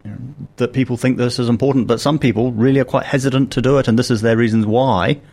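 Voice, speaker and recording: A man you'd hear as second host on a local talk show, chatting rapidly in an ordinary mid register. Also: mid-range voice, steady tone, a little mellow. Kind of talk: conversational commentary.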